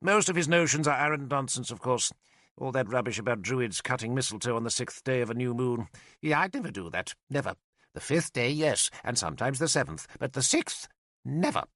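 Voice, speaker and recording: A man's voice: medium pitch, lively intonation, fairly quick, a bit bright, dry recording, studio quality, natural voice. A male narrator voicing an old, vain and cantankerous wizard who mocks and lectures in a sardonic way. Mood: lecturing. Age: older. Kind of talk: audiobook character voice.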